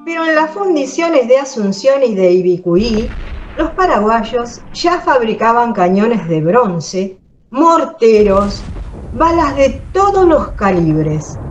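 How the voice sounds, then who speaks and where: A woman narrating a radio drama in an expressive, informative tone. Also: on the high side, highs cut off, slight background noise.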